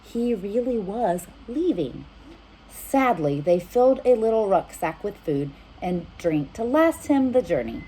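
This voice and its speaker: A woman's voice: medium pitch, big pitch swings, measured pace, warm tone, slightly rough, low-quality recording. A woman reading a picture book aloud as a children's storyteller, speaking directly to young listeners. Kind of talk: animated picture-book narration with asides to children.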